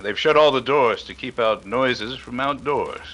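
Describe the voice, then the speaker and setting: A man playing a calm, dryly witty detective with an air of authority in a 1930s radio drama. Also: mid-range voice, a little slow. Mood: wry.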